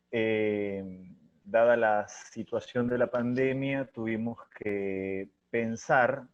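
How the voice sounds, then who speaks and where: Middle-aged male interview guest, Peruvian Spanish accent, reflective storyteller, mid-pitched voice on muffled lo-fi audio. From Chiclayo, Peru. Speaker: theatre artist and interview guest. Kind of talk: conversational interview answers. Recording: dull, lo-fi audio.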